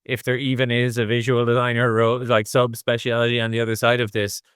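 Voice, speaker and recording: Middle-aged man, smooth dry-sounding voice. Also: mid-range voice, little pitch movement, brisk, fairly bright tone, no room sound. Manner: discursive, thinks aloud in long hedged explanations. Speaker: interview guest, design executive. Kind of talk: casual interview explanation.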